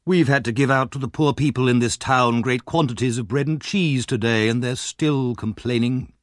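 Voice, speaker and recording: A man's voice: mid-range voice, normal speed, dry recording, studio quality, lightly acted. A man voicing a self-important, grumbling parish official in a storybook reading, with a pompous manner. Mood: indignant complaint. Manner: pompous, complaining.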